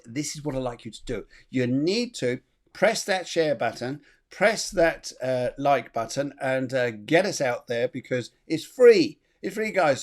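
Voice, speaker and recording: A middle-aged man hosting a live online chat show, chatty and rambling, laughing often. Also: medium pitch. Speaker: host.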